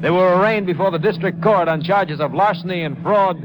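Formal, higher-voiced male radio announcer. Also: brisk. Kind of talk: formal scripted 1950s radio narration. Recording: very noisy old radio recording.

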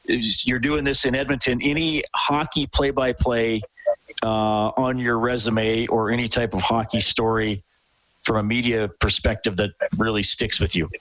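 A man with a medium-pitched voice. He hosts sports radio and talks in a casual, conversational way. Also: a bit bright, rough recording. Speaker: sports radio show host.

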